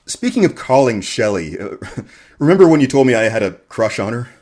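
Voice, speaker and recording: A man playing a modest, earnest, smitten radio host in a radio comedy-drama, with a hesitant, understated, halting delivery. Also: mid-range voice, very animated, darkish tone, lightly acted. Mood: smitten, hopeful.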